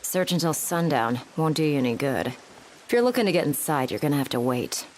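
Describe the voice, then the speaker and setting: An actress playing a cool, cocky young ex-mercenary commander in a video game, brisk, sardonic and casually bossy. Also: female voice, low voice, lively intonation, a little mellow, a little hiss or hum. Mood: teasing. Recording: music behind. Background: clear game music.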